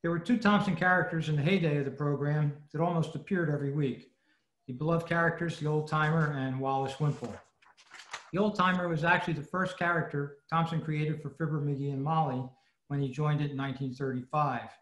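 A man's voice: on the high side, fairly even intonation, a little fast, fairly bright tone. A man giving a prepared, informative talk as a club presenter over an online meeting. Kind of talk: scripted talk on old radio history. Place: indoors, online video meeting. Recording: echoey online meeting audio.

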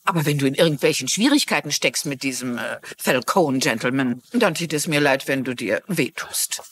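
An actress playing a kind but firm, polite older mystery novelist with a husky voice in a TV crime drama dub. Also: female voice, rumbling low, lively intonation, a little fast, heavily acted. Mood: firm, concerned. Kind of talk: German-dubbed TV crime drama.

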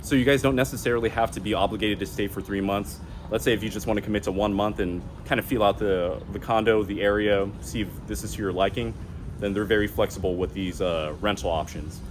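A man's voice: on the low side, steady tone, fast talker. A man in his thirties, a vlog host, talking to camera in a casual, upbeat way while walking around outdoors. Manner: chatty, upbeat, talks with his hands. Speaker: vlogger host. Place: outdoors on a city plaza and rooftop. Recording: outdoor street noise behind. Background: traffic and city ambience.

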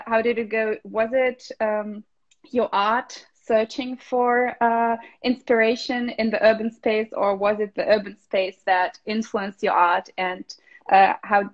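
A woman's voice: fairly high pitch, dull audio. A young woman hosting a live interview, friendly and hesitant with many fillers, on a phone video call. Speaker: interviewer, residency host. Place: indoor room.

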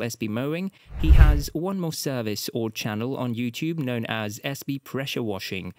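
A man's voice: mid-range voice, darkish tone, no room sound. A man reading a scripted documentary voiceover as the narrator, with an upbeat, informative storytelling delivery on a close studio mic.

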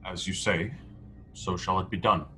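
Man playing proud, defiant low-voiced starship crewman. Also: fairly even intonation, moderate pace, bright, forceful tone. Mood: guarded. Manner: declamatory, speaks of himself in third person. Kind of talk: radio play acting over video call. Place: indoor room at home. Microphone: headset on a video call. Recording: background noise on video call.

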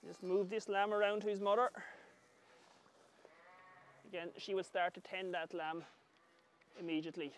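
A middle-aged man with an Irish accent giving calm, measured hands-on instructional commentary as an agriculture professor. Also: high voice. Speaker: agriculture professor demonstrating lambing. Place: large open livestock shed with straw pens.

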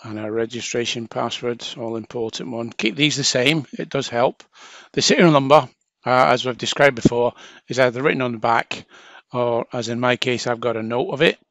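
A man with a mid-pitched voice walks through a software setup as a training instructor. He is informal and chatty, explaining each action step by step. The sound is dry, with very little room in it. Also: normal speed, no echo. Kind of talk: casual step-by-step software tutorial narration.

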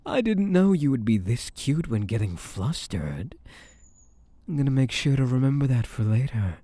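Man playing a smooth-talking, dark-voiced possessive mafia boss. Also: mid-range voice, very animated, moderate pace, dry recording. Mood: flirtatious. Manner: teasing, drawling, menacingly sweet with pet names. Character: smug, possessive, flirtatious male mafia boss. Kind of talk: intimate ASMR roleplay acting.

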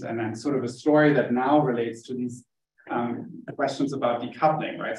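A man from Germany, a native German speaker, lectures at an academic seminar in a medium-pitched voice. He explains things in a loose, hedging way. The sound is roomy and picked up at some distance from the microphone. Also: darkish tone. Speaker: academic researcher giving a seminar talk. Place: indoor seminar room, streamed over video call.

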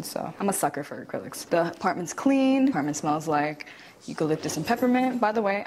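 A woman's voice: on the low side, slight background noise. A woman in her twenties chatting casually to her viewers in a lifestyle vlog, slangy and direct, on a clip-on mic in her apartment. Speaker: lifestyle vlogger. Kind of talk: casual vlog chat to camera. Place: apartment rooms, indoors. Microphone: clip-on lapel mic.

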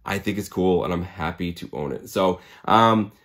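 A man in his forties, slightly hoarse from a cold, chatting casually and enthusiastically to camera as a video host. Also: deep voice, moderate pace, fairly bright tone. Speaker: comic collecting video host. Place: small indoor room lined with comics.

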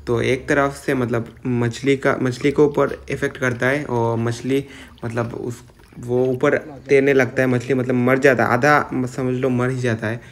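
A man's voice: medium pitch, level tone, a little slow. A young man talks into a phone camera outdoors beside a river. His delivery stays level and unvaried, and he rambles with plenty of filler words. The speech comes across casual and unscripted. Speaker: vlogger. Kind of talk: casual vlog narration.

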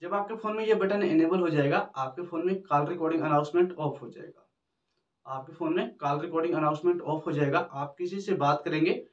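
Higher-voiced man, roomy distant recording. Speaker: tech tutorial host. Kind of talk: step-by-step phone tutorial to camera. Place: small indoor room with backdrop. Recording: roomy, distant mic, dull muffled sound.

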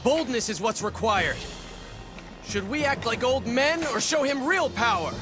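An actor playing a bold, impatient young warrior son in a video game, forceful and eager. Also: male voice, very high voice, lively intonation, unhurried, over music. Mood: eager, urging. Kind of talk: dramatic English video game cutscene acting.

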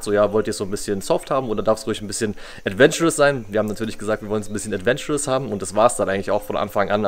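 A man's voice: lowish voice, a little hiss or hum. A young man telling a story casually as a travel vlogger, speaking to a camera on a covered terrace. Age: young adult.

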